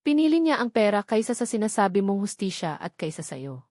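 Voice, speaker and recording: Audio drama villain, female reader: wealthy man, cold and taunting, soft-spoken menace, dry close sound. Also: mid-range voice, big pitch swings, fairly quick, no echo, close to an everyday voice. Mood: smug, triumphant. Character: cold, taunting rich male villain, faux-pitying.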